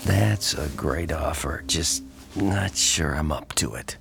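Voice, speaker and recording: An actor playing a weary, gently reassuring boyfriend in a horror audio drama, soft-spoken and drowsy. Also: male voice, extremely low pitch, slow pace, gravelly, full character voice. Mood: weak, wry. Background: music.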